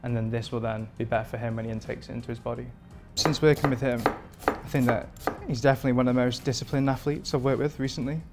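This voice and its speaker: A man in his thirties, a performance chef from England, answering interview questions in a relaxed, rambling way with many fillers, in a home kitchen. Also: mid-range voice, fast talker, few pauses, over loud music. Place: modern home kitchen indoors.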